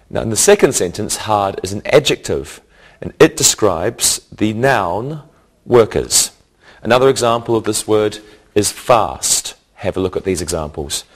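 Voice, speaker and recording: Slow, low-pitched male English teacher. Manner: deliberate, instructional enunciation. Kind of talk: scripted grammar lesson narration.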